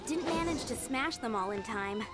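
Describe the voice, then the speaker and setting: Woman as eager, high-voiced young knight trainee. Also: expressive, normal speed, lightly acted. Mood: frustrated, determined. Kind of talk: video game voice acting. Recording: loud game music behind.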